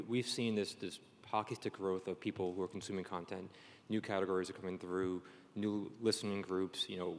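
A man moderates a panel in a large, echoing hall. His voice is on the low side, and his style is conversational. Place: large room at a conference panel. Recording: echoey hall with long reverb.

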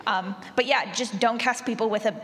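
A woman's voice: on the high side, normal speed. A woman speaking conversationally on a panel as an audio drama creator and sound designer. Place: large convention room, live audience. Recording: echoey hall, long reverb.

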